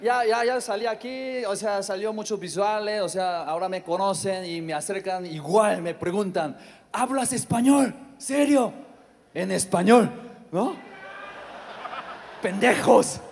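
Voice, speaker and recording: Korean-accented male stand-up, high voice, non-native halting delivery with shouted bursts, handheld mic in a reverberant theater. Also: creaky, slight background noise. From South Korea. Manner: halting non-native Spanish, filler-heavy, sudden shouted outbursts. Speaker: stand-up comedian. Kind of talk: stand-up comedy set. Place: large theater stage. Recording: live hall reverb with audience.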